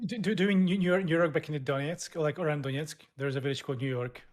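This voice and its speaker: Fast-talking European man, halting delivery. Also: fairly high pitch. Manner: halting, stumbles and restarts. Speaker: podcast co-host. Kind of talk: casual podcast chat.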